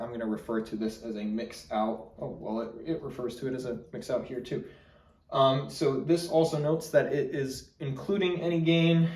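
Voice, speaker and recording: A man's voice: medium pitch, a little mellow. A man giving a casual, explanatory product review in an informal way. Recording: roomy echo, distant mic.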